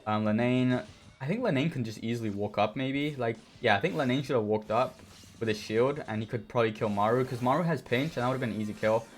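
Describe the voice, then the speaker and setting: A man with a hoarse, worn voice casually commenting on his own match, easygoing and rambling with many fillers. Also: mid-range voice, fast, slight background noise. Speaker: esports player reviewing his own match.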